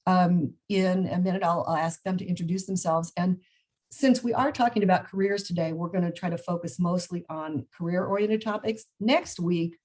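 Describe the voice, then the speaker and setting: A woman's voice: on the low side, normal speed, a little mellow. A woman hosting an online alumni panel, friendly, with hesitant fillers, on a video call. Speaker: panel moderator.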